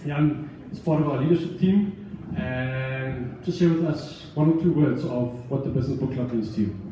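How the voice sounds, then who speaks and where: A man who founded a book club and hosts it, addressing an audience in an earnest, measured way, with restarts. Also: medium pitch, warm tone, slight background noise. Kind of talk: speech to an audience. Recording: echoey room, voice from far off.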